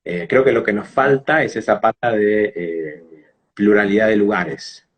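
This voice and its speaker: Argentine guy, rioplatense accent, husky lowish voice, teacher explaining stuff in an interview. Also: measured pace. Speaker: English teacher and phonetics specialist being interviewed.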